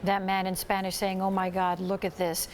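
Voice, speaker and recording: A woman's voice: medium pitch, completely flat delivery, normal speed. A middle-aged woman anchoring TV news from a studio, reading scripted copy.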